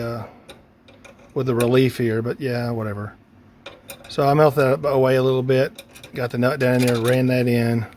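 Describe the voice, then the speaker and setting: Casting: man, mid-pitched voice, informal DIY hobbyist explaining hands-on work, thinking aloud, with noticeable ambient noise behind him. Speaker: DIY radio hobbyist presenter. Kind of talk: casual hands-on how-to narration. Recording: clear background noise, ambient sound.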